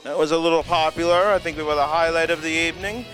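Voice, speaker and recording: A man's voice: a little high, fairly even intonation, fast talker, fairly bright tone, faint music behind, rough recording. A young man co-hosting convention coverage in a playful, joking chat. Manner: playful, riffs on jokes and running gags. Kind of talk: casual joking banter.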